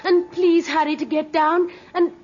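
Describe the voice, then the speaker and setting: High-voiced, yearning young sweetheart. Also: female voice, level tone, measured pace, darkish tone. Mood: tender, anxious. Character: devoted, yearning young woman in love, dreamy and earnest. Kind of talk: 1950s radio drama acting. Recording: dull, noisy 1950s radio recording.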